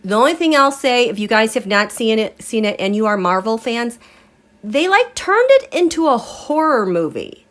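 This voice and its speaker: A woman's voice: a little high, normal speed. A woman hosting a live tarot and astrology stream, chatting casually with a congested voice as she recovers from illness. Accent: American. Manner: chatty, rambling, animated asides. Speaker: tarot and astrology livestream host.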